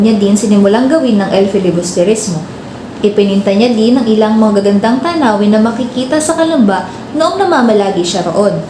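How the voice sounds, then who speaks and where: A woman's voice: fairly high pitch, noisy background, lightly acted. A young Filipino woman narrating as a teacher telling a story to her class in a student radio drama. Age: young adult. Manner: expository, prompting pupils with questions. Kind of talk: scripted narration, storytelling lesson. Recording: echoey room with background music.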